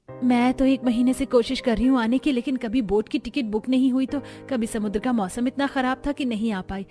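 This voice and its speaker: Lady doing family drama cartoon women, high voice, earnest and expressive, soft music under. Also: lightly acted. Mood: concerned, explaining. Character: women of a joint family, dutiful daughters-in-law and mothers-in-law. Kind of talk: dramatic Hindi cartoon story acting.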